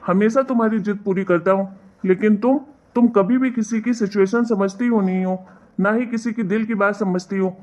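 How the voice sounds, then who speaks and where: A woman plays an exasperated young cartoon husband in a mid-pitched voice. He lectures reproachfully, and the sound is dull and lo-fi. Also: steady tone, normal speed, darkish tone, natural voice. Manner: scolding, lecturing. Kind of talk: animated family drama dialogue.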